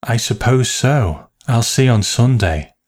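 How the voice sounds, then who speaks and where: A man reads as a mother in a storybook, lifting his pitch at times. She is careful and nervous, anxious to placate everyone. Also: animated, takes it slowly. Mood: resigned, fretful.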